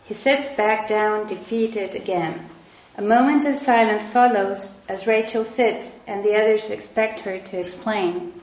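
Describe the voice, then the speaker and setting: A woman's voice: medium pitch, measured pace, darkish tone. A woman with a Spanish accent narrating stage directions for an amateur script reading. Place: wooden-floored room indoors. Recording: very echoey room.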